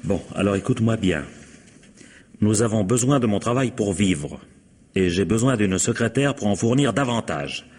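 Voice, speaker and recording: An actor playing a weary, firm husband in old French radio drama style, measured and reproachful. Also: male voice, fairly low pitch, expressive, a little mellow, husky, big-room sound. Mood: exasperated, firm. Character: exasperated, firm husband, weary of his wife's suspicions.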